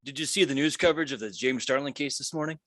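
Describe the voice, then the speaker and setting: A man's voice: mid-range voice, fast, fairly bright tone, no room sound, clean studio sound, close to an everyday voice. A man playing a callous, dismissive conspirator, casual and scornful, in a screenplay table read. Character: callous, dismissive male conspirator at a dinner party.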